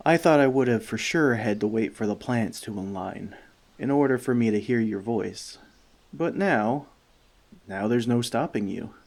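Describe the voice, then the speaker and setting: A man playing a friendly forest elf in an ASMR roleplay, talking to the listener with dry, gentle teasing. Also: on the low side, gravelly. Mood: wry, cautious.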